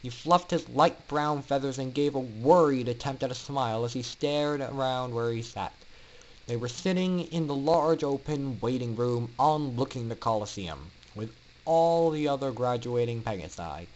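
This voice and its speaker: A man reads a dark fantasy story aloud. His delivery is theatrical and emphatic, leaning hard into the drama of the scripted narration. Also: medium pitch, moderate pace, lo-fi sound, a little hiss or hum. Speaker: amateur story reader.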